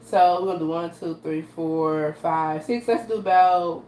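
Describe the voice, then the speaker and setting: A woman with a raspy voice from allergies, hosting a casual live cooking demo and chatting to viewers with frequent "okay?" asides. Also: fairly low pitch, unhurried, dark tone. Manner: chatty, repeats "okay?" to her audience. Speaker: host of a live cooking stream. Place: home kitchen. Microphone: camera she adjusts by hand. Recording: roomy echo, faint background noise.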